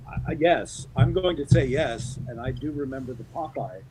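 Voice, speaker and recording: Male actor as podcast guest, mid-range pitch, chatty, digresses, trips over openings. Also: moderate pace, warm tone, slight background noise, low-quality recording. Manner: chatty, digressive, with false starts. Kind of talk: casual podcast conversation.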